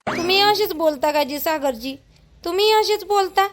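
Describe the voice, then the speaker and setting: A woman's voice: very high voice, moderate pace. A woman voicing an anxious, aggrieved young village wife in an amateur cartoon, pleading and whining in a Vidarbha Marathi accent. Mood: worried, reproachful. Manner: pleading, whining, repetitive protest. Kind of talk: amateur cartoon dialogue in rural dialect.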